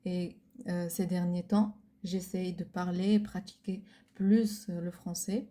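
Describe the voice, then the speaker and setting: Slow, hesitant woman, Arabic native. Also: on the low side, flat delivery, mellow. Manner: hesitant, searching for words, many fillers. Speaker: podcast co-host. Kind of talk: casual chat.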